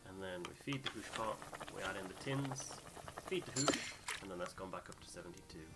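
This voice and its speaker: A man's voice: deep voice, fairly quick, over loud music, low-quality recording. A man hosting a game playthrough, narrating scenes and explaining the rules.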